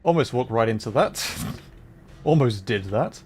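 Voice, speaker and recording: A man's voice: medium pitch, animated, measured pace, darkish tone. Casual male game streamer over music. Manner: rambling, thinking aloud while playing. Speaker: livestreamer. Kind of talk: casual live game commentary, chatting to viewers.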